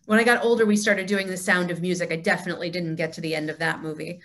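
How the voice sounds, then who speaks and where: A woman's voice: on the low side, fairly even intonation, fast talker, a bit bright. Woman hosting a casual group chat. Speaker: discussion host. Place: video call.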